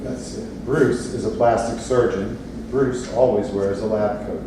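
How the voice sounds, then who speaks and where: A man's voice: on the low side, fairly even intonation, a little slow. A man reading stage directions at a play reading in a plain narrating style. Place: indoor theatre space, distant microphone. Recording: echoey, noisy room from far off.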